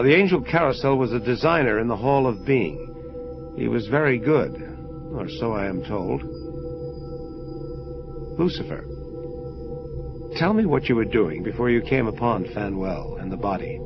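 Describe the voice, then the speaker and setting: An actor playing a solemn angel detective, an avenger of the Lord, with grave, measured, declarative delivery in an audio drama. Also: male voice, mid-range voice. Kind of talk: first-person hardboiled narration and audio drama. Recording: muffled lo-fi audio with music. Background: prominent music.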